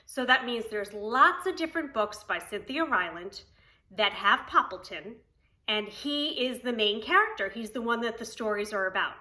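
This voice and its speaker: A woman's voice: on the high side, expressive, moderate pace, sharp tone. A woman preschool teacher reading a story aloud to young children, expressively, with simple explanatory asides.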